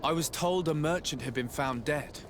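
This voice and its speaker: An actor playing a composed, insistent noble legate in a video game, speaking formally and persuasively. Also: male voice, fairly high pitch, normal speed, slight background noise, lightly acted. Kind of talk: game cutscene acting.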